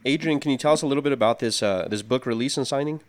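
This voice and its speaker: A man's voice: mid-range voice, rapid-fire. A man from southern Colorado hosting a radio interview, asking friendly, encouraging questions. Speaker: radio host.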